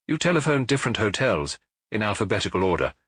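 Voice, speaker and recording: A man voicing a weary older police detective who questions calmly and firmly, with measured compassion. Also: fairly low pitch, husky, no room sound, clean studio sound, exaggerated voice. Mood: matter-of-fact.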